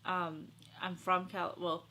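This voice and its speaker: A young woman chatting casually to her viewers in an excitable, gushing, giggly way. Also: fairly low pitch, takes it slowly, background noise. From Sri Lanka and Los Angeles. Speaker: vlogger.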